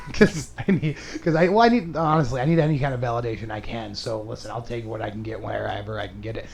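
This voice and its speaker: A man's voice: mid-range voice, fast talker, gravelly, darkish tone. A man hosting a comedy podcast in casual, crude chat, rambling, self-mocking and profane. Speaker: podcast host and stand-up comedian.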